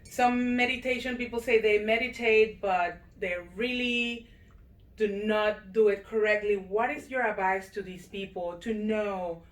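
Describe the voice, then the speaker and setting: A woman's voice: mid-range voice, steady tone, measured pace, reverberant, rough recording. Woman host asking long questions. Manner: warm welcoming, asks long rambling questions. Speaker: show host and realtor. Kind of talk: interview host.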